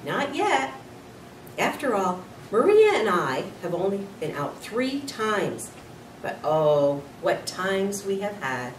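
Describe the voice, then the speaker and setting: A woman voicing an exuberant, lovestruck man in a story, loud, excitable and gushing. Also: medium pitch, slow pace. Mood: gushing, elated. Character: exuberant lovestruck man, a school night janitor. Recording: roomy, distant mic, noisy.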